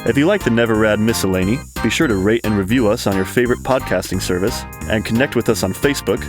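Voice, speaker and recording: Low-voiced man announcer over guitar music. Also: quick pace. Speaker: credits announcer. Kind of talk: scripted credits read.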